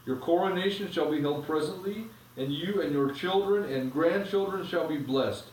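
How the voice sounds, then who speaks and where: A man reading aloud, voicing a majestic, kind male lion king in a grave, measured way. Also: fairly high pitch, slight background noise, pitched above the performer's own voice, close to an everyday voice. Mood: solemn. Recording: echoey room, from a distance.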